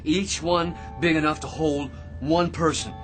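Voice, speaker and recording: An actor playing an excitable, self-important eccentric in a radio drama, rambling and stammering through grand schemes with theatrical delivery. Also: male voice, on the high side, measured pace, music in the background, close to an everyday voice. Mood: excited rambling.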